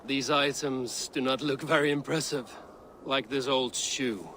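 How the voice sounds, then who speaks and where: A man's voice: medium pitch, steady tone, measured pace, pushed and bright, slight background noise. An actor playing a stern, blunt male Viking warrior in a video game cutscene. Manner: blunt, stern, honor-bound.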